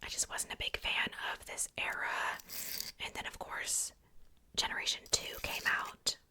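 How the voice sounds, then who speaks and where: A woman whispering softly in a casual, chatty ASMR style, rambling along with conversational asides. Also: a little slow. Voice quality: soft unvoiced whisper. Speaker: ASMR video host showing a doll collection.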